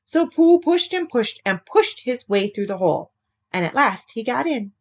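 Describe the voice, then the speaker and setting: A woman reading a children's story aloud as an expressive narrator. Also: on the high side, normal speed.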